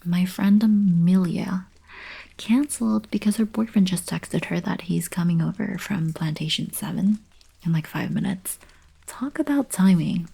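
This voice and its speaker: Woman voicing young alien princess, eager and friendly, mellow whispery tone, unhurried, teasing, intimate ASMR roleplay. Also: on the low side, full character voice, rough recording. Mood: coaxing. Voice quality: soft, breathy, whispery. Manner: casual, coaxing, girlish teasing.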